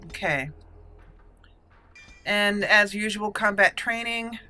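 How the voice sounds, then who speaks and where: A woman's voice: medium pitch, takes it slowly, bright, forceful tone, a little hiss or hum. A woman hosting a let's play, giving casual commentary as she goes through a video game. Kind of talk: casual gameplay commentary with roleplay.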